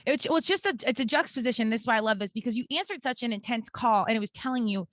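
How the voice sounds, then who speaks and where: A woman's voice: a little high, lively intonation, rapid-fire, no echo. A woman in her early forties hosting a podcast, chatting earnestly and filling her talk with "like". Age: 40s. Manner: chatty, many "like" fillers, earnest. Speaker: podcast host. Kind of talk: casual podcast conversation.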